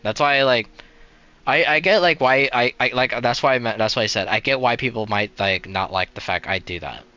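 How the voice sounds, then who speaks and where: A man's voice: medium pitch, normal speed, pushed and bright, dry recording. A young man streaming a game live, chatting casually in a rambling, resigned way, full of "like" and "you know" and restarted sentences.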